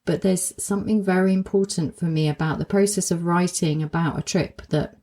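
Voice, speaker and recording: Woman, solo podcast host, lower voice, dark mellow tone, a touch croaky, reflective and self-questioning. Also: fairly even intonation, brisk. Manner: warm-hearted, musing, self-questioning. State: slightly croaky voice from a cold.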